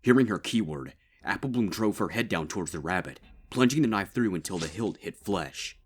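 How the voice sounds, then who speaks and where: Very deep-voiced male narrator. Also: animated, slightly rough. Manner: measured, grave storytelling. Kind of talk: dark fanfic audiobook narration.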